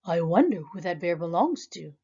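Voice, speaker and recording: A woman's voice: on the low side, very animated, moderate pace, slightly rough, highs cut off, full character voice. A woman voicing a kindly young male artist in a storybook, speaking thoughtfully. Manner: thoughtful and gentle.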